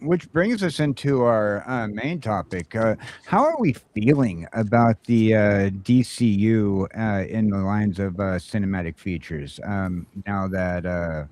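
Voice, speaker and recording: A man hosting a casual live-streamed geek chat show, talking haltingly with lots of fillers and restarts. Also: lowish voice, darkish tone, a little hiss or hum. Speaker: podcast host.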